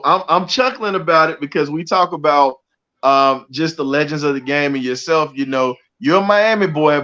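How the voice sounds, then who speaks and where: A man's voice: on the high side, fairly bright tone. A middle-aged man hosting a sports talk show, introducing his guest with enthusiasm and plenty of fillers and restarts. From United States. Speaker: show host. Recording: slightly dull video call audio.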